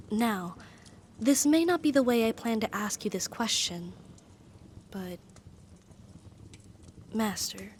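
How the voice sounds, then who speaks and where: A powerful demoness, acted by a woman, speaks to her master with formal, lovestruck devotion. Her voice is slightly high, soft and breathy, often sinking into a whisper. She takes her words slowly and hesitantly, as intimate ASMR roleplay, over faint noise. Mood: nervous, tender.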